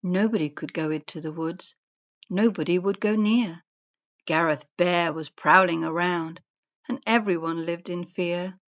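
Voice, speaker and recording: A woman narrating a rhyming children's story in a deliberate, sing-song storybook manner. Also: on the low side, fairly even intonation. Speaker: story reader. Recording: dull, muffled lo-fi sound.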